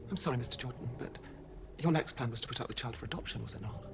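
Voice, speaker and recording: An actor playing a composed, clinical official who speaks formally and matter-of-factly, in naturalistic screen drama. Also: male voice, medium pitch, creaky, natural voice. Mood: coolly persuasive. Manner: measured phrasing, formal, matter-of-fact. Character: composed, clinical male official defending his organisation. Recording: noisy location sound.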